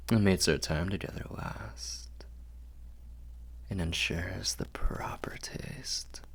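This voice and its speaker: A man playing a protective, doting vampire lord in an intimate ASMR roleplay, with courtly phrasing and a breathy, gravelly, soothing voice. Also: low-pitched, extremely slow, pauses often, heavily acted. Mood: fond, teasing.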